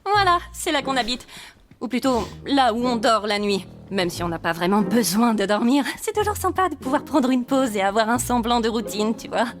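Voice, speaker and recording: A woman's voice: on the high side, animated, normal speed, natural voice. A woman voicing an anxious, friendly young cartoon character in French dubbing, earnest and nervous. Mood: gentle, explaining. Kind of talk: French-dubbed animated series acting.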